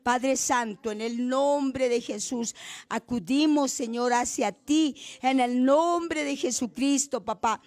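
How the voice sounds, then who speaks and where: A woman's voice: high voice, slow, darkish tone. A woman leading a fervent spoken prayer, pleading and repeating her invocations between every phrase. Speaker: prayer leader.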